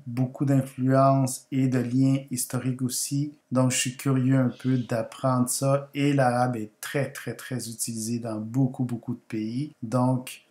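Flat-voiced man, native Spanish speaker. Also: mid-range voice, reverberant. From Guatemala. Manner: measured, repeats words for emphasis. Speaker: language video host. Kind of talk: unscripted explainer over slides.

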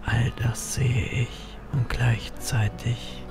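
An actor playing a brooding, wry male grim reaper who narrates his own story in an audio drama, self-mocking and breathy in places. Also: mid-range voice, little pitch movement, drawn-out words, over music. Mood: weary, brooding.

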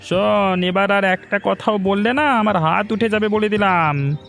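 A high voice over light music: a man performing a young cartoon man who bullies and mocks. He is cocky and argumentative, always taunting whoever he talks to. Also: unhurried, vocal fry, a bit bright, full character voice. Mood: threatening, scornful. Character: cocky, mocking young man, bullying grudging friend. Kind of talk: cartoon moral-story acting.

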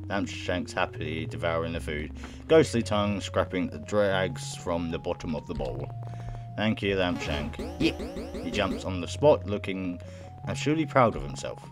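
A young man reading a visual novel aloud in a halting way, with casual reactions of his own. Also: deep voice. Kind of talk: let's play, reading game text aloud with casual reactions. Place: indoor room at home.

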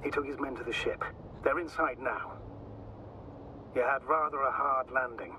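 An actor playing a measured, concerned astrogator guiding a crewmate over a radio link in a video game. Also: male voice, medium pitch, noisy background. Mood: matter-of-fact. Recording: distant radio sound, music behind.

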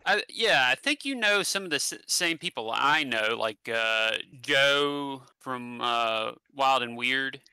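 Bright-voiced West Virginian man, halting. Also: medium pitch, animated, clear, resonant tone, no echo. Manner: halting, many fillers and restarts. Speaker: guest, paranormal channel creator. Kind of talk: casual conversational interview. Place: home room, indoors. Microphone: headset mic on a video call.

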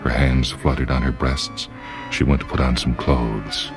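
A man's voice: extremely low pitch, steady tone, measured pace. A man reading a short story as dramatic literary narration. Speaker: story reader. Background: music.

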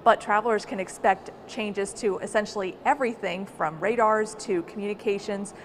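A young woman delivers a live television news report. Her voice sits at a middle pitch and her delivery halts a little. Faint location noise surrounds her. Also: moderate pace. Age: young adult. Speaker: news correspondent. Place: large stone rotunda. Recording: noisy live location sound.

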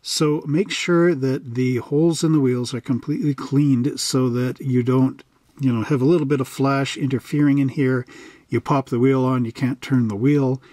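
A man's voice: medium pitch, steady tone, moderate pace, mellow, dry recording. A middle-aged man, chatting in a folksy, informal way as he hosts a casual hobby walkthrough. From western Canada. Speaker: host of a model kit channel. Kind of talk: casual unboxing and instruction walkthrough.

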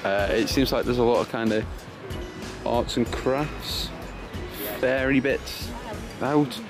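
A man's voice: medium pitch, slow, over music. A man in his thirties from Britain, a travel vlogger chatting casually outdoors. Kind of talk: casual vlog chat. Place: outdoors in a city park. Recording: noisy outdoor location sound.

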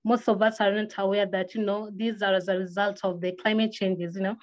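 A woman's voice: medium pitch, level tone, brisk, darkish tone. A woman from western Kenya with a Kenyan accent, hosting an online discussion. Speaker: host, moderator. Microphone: video call.

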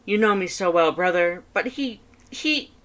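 A woman's voice: lowish voice, animated, measured pace, fairly bright tone, voice pushed up. A woman voicing a proud, competitive male skeleton in a fan fiction audiobook, speaking emphatically and formally with theatrical flair. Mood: flustered, outraged.

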